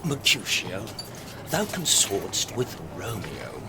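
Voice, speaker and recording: Arrogant young brit fighter spoiling for a duel, lively swings in pitch, radio shakespeare, noise behind. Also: male voice, slow pace, full character voice. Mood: cold, challenging. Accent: British. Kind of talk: Shakespearean radio drama acting.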